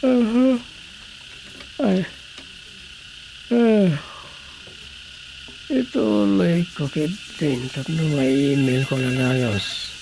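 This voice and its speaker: Drowsy comic Ilocano village secretary, gravelly, muttering, radio drama comedy. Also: male voice, fairly high pitch, very slow, pauses often, a little mellow, a little hiss or hum, exaggerated voice, rough recording. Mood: sleepy, muttering.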